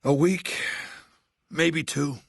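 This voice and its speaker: An older actor playing a cold, commanding warlord in a video game, blunt and deliberate with a sardonic edge. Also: male voice, fairly high pitch, steady tone, gravelly, husky, dry recording, studio quality, heavily acted. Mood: weary, terse. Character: cold, menacing middle-aged male warlord ruling a slaver legion. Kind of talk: video game character dialogue.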